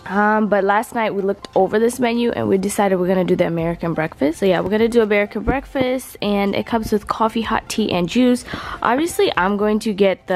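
A young woman filming a casual vlog, talking in a chatty, slangy way to viewers and her partner while walking through a hotel room with a handheld camera. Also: medium pitch. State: voice raspy from just waking up. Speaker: vlogger.

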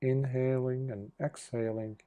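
A man leading a guided meditation as a Buddhist monk, speaking gently in short phrases with long pauses between them. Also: mid-range voice, little pitch movement.

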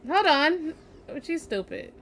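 A young woman giving casual, animated, reactive let's play commentary as a game streamer. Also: high-pitched, slow, a little hiss or hum. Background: game music.

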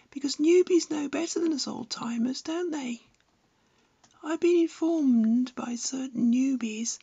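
An older woman in her seventies delivers scripted instructional narration. Her voice sits high and has a noticeable creak. She phrases each point precisely and deliberately, like a teacher. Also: darkish tone. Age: 70s. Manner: measured, didactic, precise phrasing. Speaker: amateur radio enthusiast and instructor. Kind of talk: scripted narration giving practical advice.

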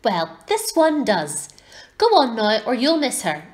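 A woman voicing a brisk, firm mother barn owl in a storybook, insistent and instructing. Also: a little high, wildly swooping pitch. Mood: firm, prompting.

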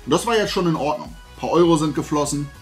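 A man's voice: a little high, fast talker, faint music behind. A man in his forties from Germany chatting casually to camera as a vlog host, in a colloquial, self-deprecating way. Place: small indoor room lined with collectible shelves.

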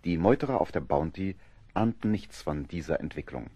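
A man narrating a seafaring adventure radio play in a grave, dramatic storytelling style, with a husky voice. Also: deep voice, animated, moderate pace, dark tone.

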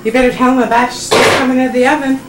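A woman's voice: sits high, little pitch movement, rapid-fire. A woman chatting casually at home, prompting a child, caught on a camcorder microphone. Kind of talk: casual chat in a home video. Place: home kitchen, indoors. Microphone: camcorder mic, away from speaker. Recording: distant, roomy, very noisy camcorder audio.

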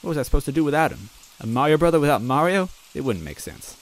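A man's voice: a little high, big pitch swings, fast, low-quality recording. Casual man reading a fan story. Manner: casual, rambling, clears throat often. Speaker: reader and narrator. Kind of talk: reading a fan story aloud with chatty asides.

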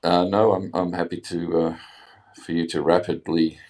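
A man's voice: deep voice, little pitch movement, moderate pace, a little mellow. A man with an Australian accent joining an online talk, speaking hesitantly and often repeating his words. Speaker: audience member. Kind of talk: casual conversation.

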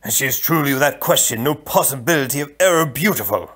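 A man voicing a scheming, deferential count in a storybook, in a measured, deliberate way. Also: mid-range voice, animated, a bit bright, breathy edge. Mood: measured, sly. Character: smooth, scheming courtly count, deferential to his prince.

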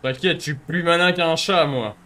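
A man's voice: fairly high pitch, moderate pace, bright, forceful tone. A young man streaming a role-play game on a headset, swearing and mocking other players in casual commentary.

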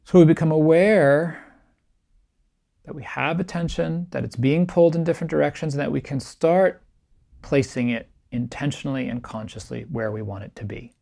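A middle-aged man, a meditation teacher, giving a calm, reflective keynote talk to camera in a small, bright room. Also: fairly high pitch, moderate pace, creaky. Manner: calm, reflective, gently emphatic. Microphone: camera framed at chest height, facing the speaker.

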